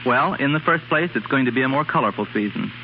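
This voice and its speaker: A man's voice: medium pitch, lively intonation, fast talker, slight background noise. Man on muffled 1930s radio. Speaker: fashion designer guest. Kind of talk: scripted 1930s radio guest talk with product endorsement.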